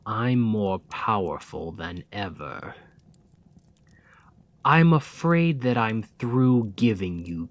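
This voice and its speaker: A man voicing a scheming, seductive demon king in an ASMR roleplay, speaking slowly and often in a close whisper. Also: low voice, heavily acted. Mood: menacing. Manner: slow, deliberate, often whispered.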